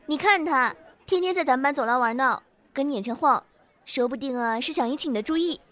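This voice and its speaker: A woman's voice: high voice, fairly quick, a bit bright. A woman playing a chatty, teasing teenage classmate in an audio drama, casual and nosy. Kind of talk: modern Chinese audio drama acting. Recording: faint background noise.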